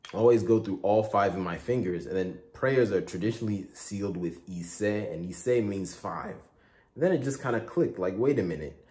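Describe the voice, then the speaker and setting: A young man from Igboland hosting a casual spoken tutorial, talking in a relaxed, rambling way with many fillers and self-corrections. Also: sits low. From Igboland, Nigeria. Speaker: spiritual tutorial host.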